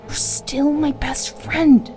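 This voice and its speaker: A woman voicing a loyal, earnest young female student in a visual novel, gently insistent and reassuring. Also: high voice, little pitch movement, takes it slowly, voice pushed up. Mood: sad, loyal. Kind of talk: visual novel character acting. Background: music.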